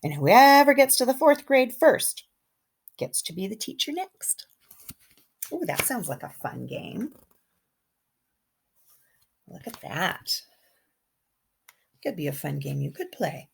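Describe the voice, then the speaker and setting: A woman kindergarten teacher reading a picture book aloud to young children in an expressive way, with simple asides and questions. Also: mid-range voice, creaky, slightly rough. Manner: animated, simple wording, pauses to comment and question.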